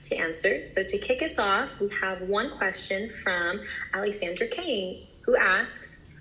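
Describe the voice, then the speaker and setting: A woman's voice: mid-range voice, unhurried, pushed and bright, low-quality recording. A woman hosting a student Q&A, politely reading questions aloud and thanking the guest. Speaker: host. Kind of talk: moderating student Q&A.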